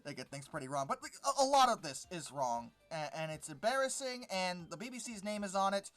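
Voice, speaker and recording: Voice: medium pitch, big pitch swings, brisk, fairly bright tone, faint music behind. A video host giving informal critique commentary in an exasperated, sarcastic and dismissive way. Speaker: host of a paleontology commentary channel.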